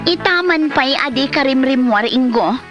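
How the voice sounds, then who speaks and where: An actress playing an exasperated wife who scolds her lazy husband in an Ilocano comedy drama, sharp and nagging, with an Ilocano accent. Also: high-pitched, animated, normal speed, dull audio, faint music behind.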